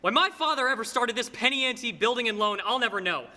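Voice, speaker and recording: Young man as an excitable small-town fellow, bright high voice. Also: full character voice. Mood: indignant, impassioned. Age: young adult. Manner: halting, stammering, drawn-out folksy delivery. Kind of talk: filmed radio play acting. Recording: echoey hall with long reverb.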